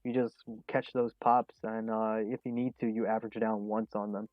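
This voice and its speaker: A man's voice: on the low side, normal speed, dry recording, lo-fi sound. A man in his early twenties hosting a casual trading livestream, answering viewer questions with frequent chuckles and fillers. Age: 20s. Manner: relaxed, chuckles often, many fillers. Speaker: stock trading livestream host.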